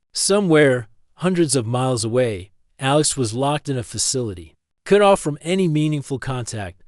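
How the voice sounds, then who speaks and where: A man reading a scripted story as an audiobook-style narrator, in a measured, dramatic storytelling manner. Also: mid-range voice, no echo, studio quality.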